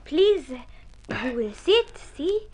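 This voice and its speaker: An actress playing a young, excitable Latin American senorita with a stage Spanish accent in an old radio drama. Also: female voice, very high-pitched, very slow, full character voice. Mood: demure. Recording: noisy old radio recording.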